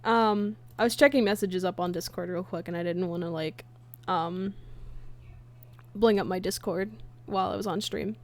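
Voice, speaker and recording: A woman chatting casually on a livestream as she works, halting and half talking to herself in an absent-minded way. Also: medium pitch, fairly even intonation. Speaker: livestreamer and comic dub creator. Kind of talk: casual livestream chat while working. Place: indoors at a computer, streaming.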